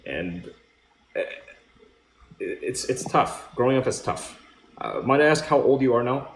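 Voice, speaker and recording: A man in his thirties hosting a casual chat, relaxed and reflective, asking friendly questions. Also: medium pitch, a little slow, creaky, a little hiss or hum. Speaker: host. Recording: echoey room.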